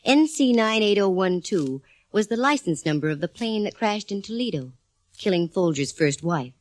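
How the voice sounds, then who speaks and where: A woman's voice: medium pitch, expressive, moderate pace, no room sound, natural voice. An actress playing a sassy woman private eye in a 1940s radio drama, breezy and wisecracking. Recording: old radio broadcast with faint organ music.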